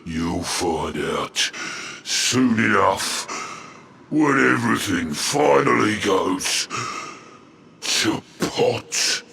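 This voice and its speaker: An actor playing a pompous, scheming, hot-tempered monster boss in a video game, with theatrical, gloating delivery and sudden shouts. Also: male voice, fairly low pitch, animated, extremely slow, vocal fry, a little hiss or hum, exaggerated voice. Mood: bitter, dejected. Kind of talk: video game cutscene acting.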